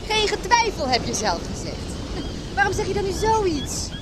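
A woman's voice: very high-pitched, very animated, normal speed. An actress playing an earnest, sheltered young woman in a Dutch radio play. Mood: protesting. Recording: noisy, surf and wind behind. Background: sea waves and wind.

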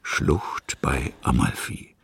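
A man with a gravelly, husky voice reading literary narration for a radio play. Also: slow pace, a bit bright, no room sound.

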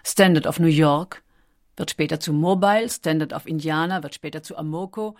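A woman reading scripted documentary narration with a measured, emphatic storytelling delivery. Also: deep voice, a little mellow.

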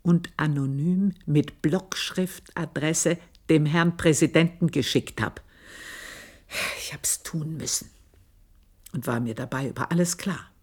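A low, husky, brooding Austrian housemaid. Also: female voice, a little mellow, exaggerated voice. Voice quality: husky, breathy, often dropping to a whisper. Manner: slow, brooding, intense confession. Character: guilt-ridden, vengeful housemaid confessing her wickedness. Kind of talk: German radio play monologue.

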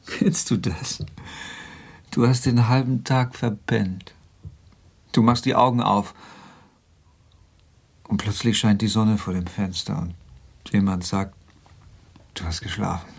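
An actor playing an eccentric older lover, gruff but tender, terse and intimate, in a German radio drama. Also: male voice, fairly low pitch, steady tone, slow, pauses often, full character voice. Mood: tender, musing.

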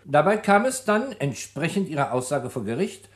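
A man's voice: fairly high pitch, big pitch swings, moderate pace. A man acting in a German radio crime drama, playing a composed public prosecutor who questions formally and sceptically, with dry irony. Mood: probing.

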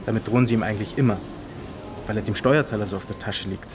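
An actor playing an evasive, venal, gossipy guard in a radio play, speaking in a halting, insinuating, colloquial way. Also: male voice, lowish voice, background noise, close to an everyday voice. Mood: gossipy, resigned.

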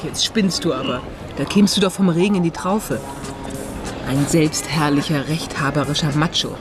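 A woman acting a cheeky, sharp-tongued friend turned amateur detective in a German radio crime drama, mocking and decisive. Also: low-pitched, normal speed, music in the background, close to an everyday voice. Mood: mocking, breezy.

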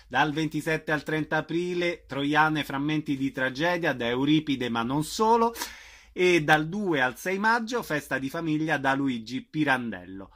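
A man's voice: on the high side, animated, measured pace, fairly bright tone. A man hosting a casual radio interview, addressing guests and listeners.

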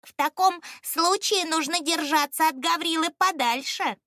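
Woman voicing a high, nervous, stubborn male ostrich. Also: a little slow, pushed and bright, no echo, studio quality, exaggerated voice. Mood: suspicious. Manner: urgent, emphatic, blunt. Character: nervous, stubborn wild ostrich creature, male, suspicious and self-important.

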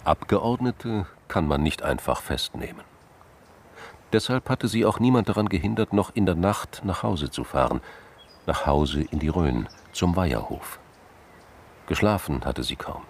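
A man's voice: low voice, lively intonation, unhurried, a little hiss or hum. A man reading scripted literary narration as the narrator of a crime radio play.

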